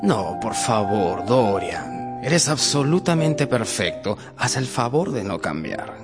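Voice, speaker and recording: An actor playing a cynical, languid aristocrat in a radio drama, with theatrical, teasing, drawn-out phrasing. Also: male voice, on the low side, big pitch swings. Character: languid, cynical aristocratic gentleman, coaxing his friend. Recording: long hall reverb. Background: faint music.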